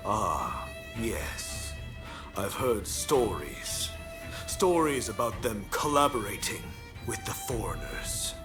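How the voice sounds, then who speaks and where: An actor playing a haughty, powerful chief minister in a samurai-era video game, imperious and disdainful in a measured way. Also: male voice, on the low side, sing-song, music in the background. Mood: dismissive. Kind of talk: video game cutscene acting.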